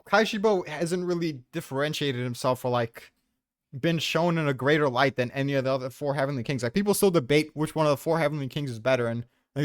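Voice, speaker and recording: A man's voice: fairly high pitch, dry recording, studio quality. A man debating casually, argumentative and loosely profane as he makes his case. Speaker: debater on a manga ranking podcast.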